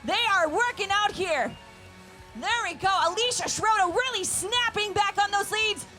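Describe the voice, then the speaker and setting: High-voiced woman hyping a crowd. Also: lively intonation, normal speed, bright, forceful tone, faint music behind. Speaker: arena announcer. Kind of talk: live event announcing.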